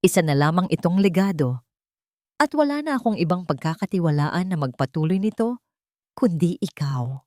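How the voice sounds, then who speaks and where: Woman narrator as a calm older male tycoon, animated intonation. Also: fairly low pitch, dry recording, clean studio sound. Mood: calm assurance. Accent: Filipino. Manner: formal, measured, earnest.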